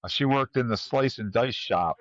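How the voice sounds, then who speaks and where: A man's voice: medium pitch, moderate pace, a bit bright, dry recording. A man hosting a casual trivia chat, talking conversationally to his panel over a slightly dull microphone. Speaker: podcast host running a trivia quiz.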